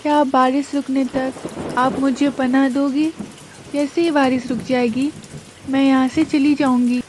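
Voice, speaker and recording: A woman plays a worried little mother bird in a children's cartoon, very high in pitch and slow in delivery. She sounds plaintive and pleading, with noisy rain behind the voice. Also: little pitch movement, a little mellow. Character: anxious, pleading young mother bird, female. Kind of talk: dramatized Hindi children's cartoon story.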